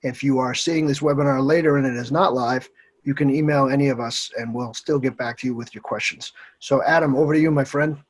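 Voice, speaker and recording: Guy in his 40s kicking off a webinar panel, laid back, pretty flat delivery. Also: mid-range voice, fairly quick. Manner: relaxed, conversational, occasional uhs. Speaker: panelist and committee member.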